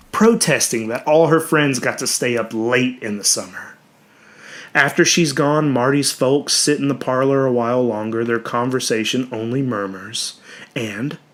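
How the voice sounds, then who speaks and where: Male storyteller, mid-pitched, for dramatic audiobook narration; measured, expressive delivery that softens into hushed phrase endings. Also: husky.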